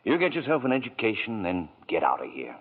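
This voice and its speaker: An actor playing a kind, tired middle-aged father who speaks gently, in 1940s radio acting, on a scratchy 1940s radio broadcast. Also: fairly low pitch, huge pitch swings, fast talker, husky, in a large hall, phone-quality sound, full character voice.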